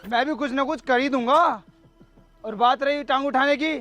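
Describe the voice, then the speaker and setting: Man acting a high-pitched, boastful village quarreller. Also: steady tone, moderate pace, pushed and bright. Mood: boastful, combative. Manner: combative, crude, bragging. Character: loud, boastful, quarrelsome village man fighting over a loudspeaker. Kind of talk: village comedy sketch acting. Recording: music behind the voice.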